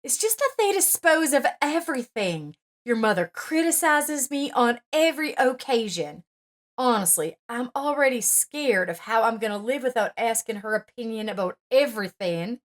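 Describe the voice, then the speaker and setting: Woman putting on a young wife, upset n pleading, high strained voice. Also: animated, normal speed, close to an everyday voice.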